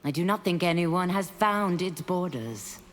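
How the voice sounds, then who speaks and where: A woman's voice: lowish voice, lively intonation, measured pace. An actress playing an earnest, solemn warrior woman in a fighting game, speaking formally. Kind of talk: fighting game character banter. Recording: loud background noise, long reverb.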